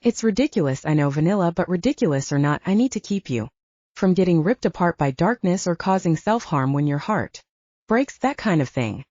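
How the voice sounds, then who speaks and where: A woman's voice: on the low side, expressive, a little fast, darkish tone, no echo, highs cut off. A woman voicing a blunt, protective young male butcher who teases, in an audiobook. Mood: earnest, protective. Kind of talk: audiobook character dialogue.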